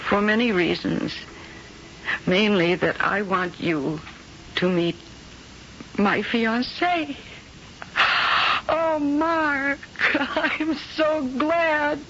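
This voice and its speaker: An actress playing a famous, weary, warm and emotional singing star in a 1970s radio drama. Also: female voice, a little high, fairly even intonation, drawn-out words, pauses often, a little hiss or hum, heavily acted. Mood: moved. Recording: dull 1970s radio recording, music behind.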